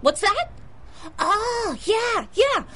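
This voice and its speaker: A scatterbrained little tyke reports in an old-time radio play. The voice is tiny and very high, slow and swooping, whiny yet excitable. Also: female voice, a little hiss or hum, exaggerated voice. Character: small, scatterbrained, good-natured kid recruit. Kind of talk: 1930s-style radio drama acting.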